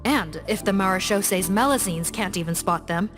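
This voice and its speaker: An actress playing a dutiful law officer who leads a team, speaking in a firm, businesslike, slightly weary way. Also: female voice, medium pitch, normal speed, natural voice. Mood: serious. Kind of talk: game cutscene voice acting. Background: game music.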